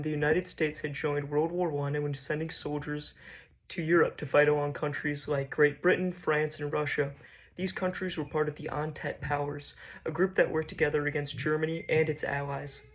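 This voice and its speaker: A man reading a scripted narration aloud as a student presenting a school project, sometimes stumbling over and repeating words. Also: fairly high pitch.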